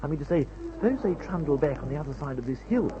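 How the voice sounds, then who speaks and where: A man's voice: a little high, expressive, fast, natural voice. An actor playing a jittery but breezy upper-class English airman in an old radio adventure serial, spluttering jaunty slang. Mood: jittery, grumbling. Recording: dull lo-fi old radio recording.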